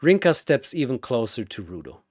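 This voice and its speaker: A man's voice: mid-range voice, big pitch swings, normal speed, a little mellow, dry recording, clean studio sound. A man delivering dramatic scripted story narration in theatrical, suspenseful short phrases.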